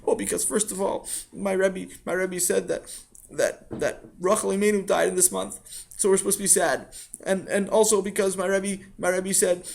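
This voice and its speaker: A man's voice: high voice, little pitch movement, normal speed, creaky, a little mellow, voice pushed up. A man voicing a stubborn, cheeky little boy with a whiny, insistent, childish delivery in a told story. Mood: tearful, earnest.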